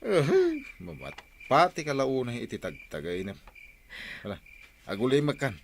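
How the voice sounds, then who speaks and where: A man's voice: medium pitch, wildly swooping pitch, normal speed, vocal fry, slight background noise. An actor playing a sleepy, dismissive husband who grumbles that he wants to sleep, in a radio drama.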